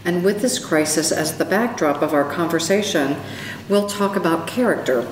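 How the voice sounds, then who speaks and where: A woman's voice: on the low side, normal speed. A woman hosting an interview, delivering a polished introduction and then asking questions. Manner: polished, scripted-sounding introduction, then brief affirmations. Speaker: interview host. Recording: roomy, distant, reverberant sound with faint noise.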